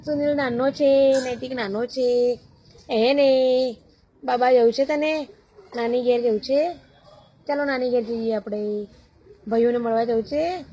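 A woman's voice: high-pitched, a little mellow. A woman with a Gujarati accent talking playfully to a baby, repeating phrases and chanting nursery rhymes in a sing-song way. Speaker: caregiver playing with a baby. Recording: dull, lo-fi home recording.

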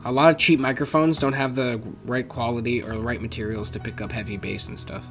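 A young male streamer talks in a mid-pitched voice, swearing and trash-talking as he plays. He speaks into a headset from a home setup. Game music plays clearly behind him. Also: fairly even intonation, brisk. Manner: profane, frustrated trash talk. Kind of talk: casual gameplay chat.

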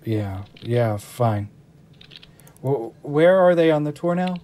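A man's voice: mid-range voice, expressive, slow, warm tone. Man acting a flustered, stammering employee. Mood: distracted, uneasy. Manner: stammering, protesting. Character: nervous, flustered male disgruntled employee. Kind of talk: audio drama acting.

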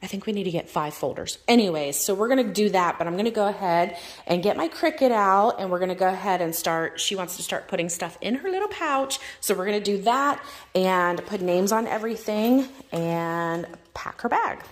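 A woman's voice: medium pitch, animated, brisk. A woman in her thirties, a mom and vlogger, chatting casually at her kitchen counter with lots of fillers. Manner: chatty, rambling, filler-heavy. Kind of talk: casual show-and-tell vlog. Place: home kitchen. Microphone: phone camera held over a countertop.

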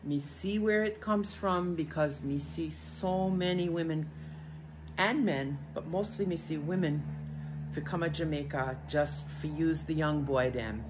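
An older woman from Canada with a fairly low voice, speaking Patois to camera in an informal, reflective way. She takes her time, very slowly. The phone recording is dull, with faint noise. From northern Canada. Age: older, 60s or 70s. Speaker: retired teacher living in Jamaica. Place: outdoors in rural garden greenery. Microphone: phone at arm's length. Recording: muffled phone audio with some noise.

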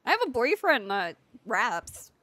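A woman's voice: a little high, very animated, unhurried, a bit bright, exaggerated voice. A young woman voicing a laid-back character in a roleplay, chatting casually with giggles and mumbled trailing sentences. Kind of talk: improvised roleplay.

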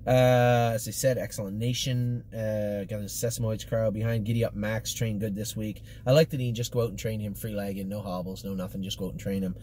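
A man's voice: lowish voice, level tone, a little fast, few pauses, darkish tone. A middle-aged man giving a casual, matter-of-fact update to camera in choppy, informal phrases from inside a car. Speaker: stable commentator giving horse updates. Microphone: camera or phone mounted at arm's length. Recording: clear background noise in a car.

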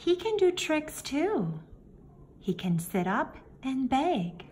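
A woman reading a picture book aloud to young children, in simple phrases with deliberate pauses. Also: high voice, sing-song, a little mellow. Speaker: children's story reader. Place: indoor room, book filmed on a table. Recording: faint room noise behind the voice.

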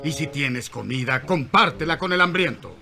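A man playing a fervent desert prophet in an animated Bible film, declaiming commands like a preacher. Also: a little high, normal speed, gravelly, music in the background. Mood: fervent, commanding.

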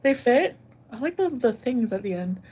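A woman's voice: fairly high pitch, big pitch swings, moderate pace, dark tone, husky. A woman in her thirties doing a casual clothing haul at home, rambling and giggly, often joking at her own expense. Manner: rambling, giggly, self-deprecating. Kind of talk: casual haul vlog chat. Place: indoor bedroom at home.

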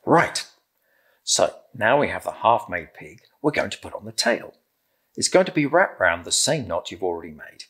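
A middle-aged British man with a low, slightly husky voice presents a craft demonstration. His delivery moves up and down with lively intonation as he gives simple, encouraging step-by-step instructions in a gentle manner. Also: moderate pace. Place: indoor room with timber-framed walls, at a table.

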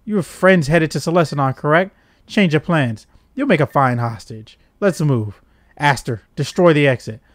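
A man voicing a cold, menacing male villain commander from a video game, measured and commanding. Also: on the high side, no room sound, natural voice. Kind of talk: voiced reading of game dialogue. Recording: game music behind.

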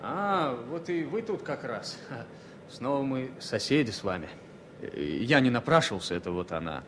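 An actor playing a shell-shocked former pilot, wry, grumbling and resigned, halting and ironic in a radio drama. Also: male voice, mid-range voice, expressive, background noise, close to an everyday voice. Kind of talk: 1970 Soviet radio drama acting.

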